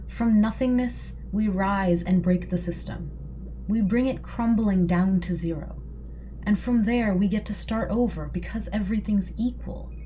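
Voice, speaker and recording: A woman playing an earnest, composed rebel leader in an audio drama, speaking in measured, solemn, persuasive speeches. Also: medium pitch, warm tone, slight background noise, close to an everyday voice, rough recording. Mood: resolute.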